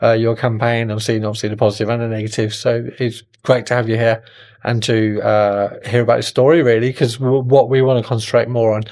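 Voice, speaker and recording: Brummie guy hosting his show, friendly, bit halting, mid voice. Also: mid-range voice, level tone, darkish tone. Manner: friendly, halting questions with restarts. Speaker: podcast host. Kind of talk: scripted intro then casual interview.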